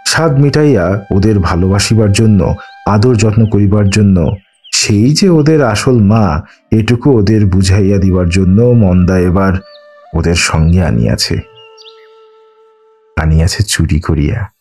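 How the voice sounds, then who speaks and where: A man's voice: lowish voice, a little slow, long pauses, darkish tone. A man reading a literary story aloud as a scripted audiobook narration. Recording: music under the voice. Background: background music.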